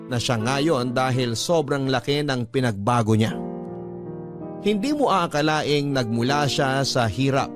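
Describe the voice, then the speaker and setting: Actor as a sentimental mid-pitched man over guitar music. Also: natural voice. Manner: earnest, sentimental. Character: heartfelt male letter sender recounting his lost love. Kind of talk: scripted dramatic first-person narration.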